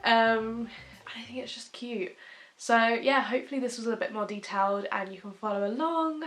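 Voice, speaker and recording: A woman's voice: fairly high pitch, fairly bright tone. A young woman giving a casual, chatty step-by-step crochet tutorial at home, with frequent ums.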